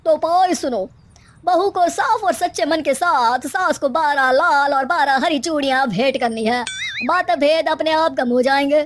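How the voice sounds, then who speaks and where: Woman acting a measured, knowing holy man. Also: high-pitched, slight background noise. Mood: pronouncing. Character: calm, knowing male holy man advisor.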